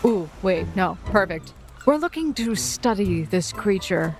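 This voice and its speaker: A woman's voice: medium pitch, lively intonation, soft background music. A woman playing a tabletop roleplaying game, slipping in and out of character in a playful, chatty way. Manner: playful, chatty, quick to laugh at herself. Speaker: player.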